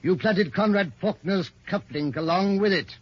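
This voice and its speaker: An actor playing a shrewd, stern veteran police inspector in 1950s radio drama style, authoritative and declamatory. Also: male voice, high voice, animated, moderate pace, breathy edge, no room sound. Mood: accusing, triumphant. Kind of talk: 1950s American radio crime drama acting. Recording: muffled, lo-fi old radio recording.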